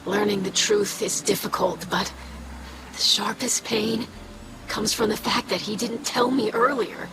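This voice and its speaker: A woman's voice: mid-range voice, steady tone, over loud music, lightly acted, poor audio. Woman as an earnest young alien scribe, formal. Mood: hurt, bitter. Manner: formal, measured, articulate diction. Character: earnest young female alien scribe, dutiful, wounded idealist. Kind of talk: dramatic video game cutscene acting.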